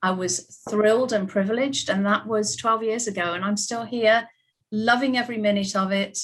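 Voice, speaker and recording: A woman's voice: medium pitch, steady tone, normal speed. Chatty British woman on a video call. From United Kingdom. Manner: warm, chatty, many ums. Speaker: ballet school centre principal. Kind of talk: panel self-introduction on video call.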